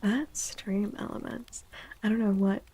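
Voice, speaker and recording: A woman speaking in a soft ASMR style as a streamer, breathy and often near a whisper, gentle and playfully theatrical with drawn-out words. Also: medium pitch, fairly even intonation. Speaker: streamer hosting a tarot and astrology stream. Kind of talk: soft-spoken ASMR, casual live stream chat.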